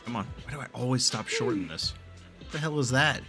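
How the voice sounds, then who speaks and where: A man's voice: medium pitch, lively intonation, brisk, music in the background. A man giving casual, joking and profane reaction commentary while playing a story game. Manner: profane, joking, breaks into exclamations.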